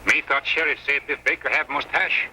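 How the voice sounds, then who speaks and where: An actor playing a stoic, loyal frontier scout in an old Western radio drama, speaking terse, halting, stylized broken English. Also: male voice, a little high, fairly even intonation, bright, forceful tone. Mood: grave, puzzled. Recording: noisy old radio recording.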